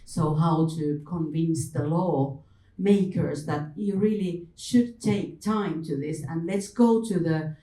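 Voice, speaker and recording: Very low, mellow woman. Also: slow. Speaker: panel speaker. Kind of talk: hesitant panel discussion. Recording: echoey room, distant mic.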